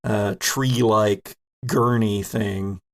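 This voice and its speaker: Male game master, lower voice, descriptive improvised narration delivered in pieced-together phrases. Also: steady tone, very slow.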